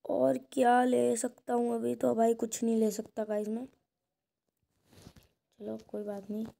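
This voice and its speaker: A woman's voice: fairly high pitch, completely flat delivery, unhurried, creaky, dark tone. A woman giving casual, chatty gameplay commentary, addressing viewers informally like friends. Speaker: gaming video creator. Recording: very noisy phone recording with clicks.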